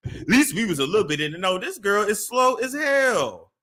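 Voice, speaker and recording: High, bright-voiced man mocking gossip clips. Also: very animated, normal speed, a little hiss or hum. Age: young adult. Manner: mocking, laughing asides. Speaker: commentary host. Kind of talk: gossip commentary over clips.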